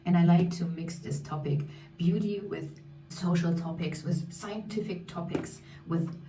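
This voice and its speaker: A woman's voice: lowish voice, unhurried. A woman in her thirties, a beauty vlogger, chatting candidly while doing her makeup, speaking English as a second language and sometimes searching for words. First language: not English. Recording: echoey room, mic at a distance, faint music.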